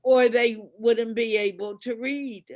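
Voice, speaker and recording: Woman host, very slow deliberate speech, affirming, dry close sound. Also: on the high side, steady tone, darkish tone, no room sound. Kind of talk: conversational interview.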